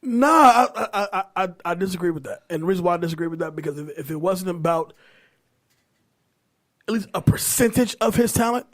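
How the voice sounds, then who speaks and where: A man's voice: high-pitched, animated, a little fast. A man hosting a casual podcast panel, talking emphatically with some swearing and stumbling restarts. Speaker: podcast host. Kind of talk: casual chat moderating a panel.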